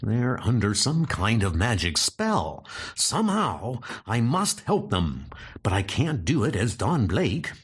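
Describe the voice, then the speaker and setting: A man's voice: on the low side, wildly swooping pitch, slow pace, husky, pitched below the performer's own voice, heavily acted. A man playing an earnest, determined doctor musing seriously to himself in a comic book dub. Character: earnest, determined male doctor with secret identity.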